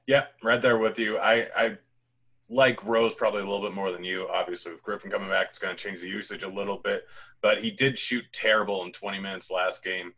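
A man hosting a fantasy sports podcast, talking upbeat and fast through sponsor reads and game picks. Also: fairly low pitch, little pitch movement, fairly bright tone. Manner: upbeat, rapid-fire sponsor read and game breakdown. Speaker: podcast host. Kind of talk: fantasy basketball podcast chat.

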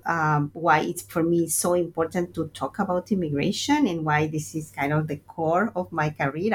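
Brief: deep-voiced woman academic, interview guest, loose filler-heavy talk. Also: a little hiss or hum. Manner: thoughtful, uses fillers like "like" and "um". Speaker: guest sociologist. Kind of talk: conversational interview.

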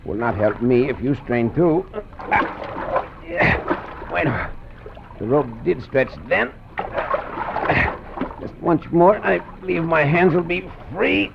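An actor playing a calm, cunning caballero hero who speaks formal English, in an old radio drama. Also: male voice, a little high, gravelly, full character voice. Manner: formal, unhurried English with Spanish words. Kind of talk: 1940s radio acting. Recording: muffled old radio with faint hiss.

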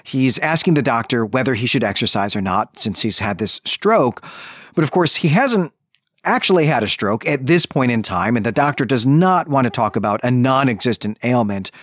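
A man with a lively, varied pitch recaps a book as a podcast co-host. He explains things step by step in a conversational way, and the sound is dry and close, with no room echo. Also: moderate pace.

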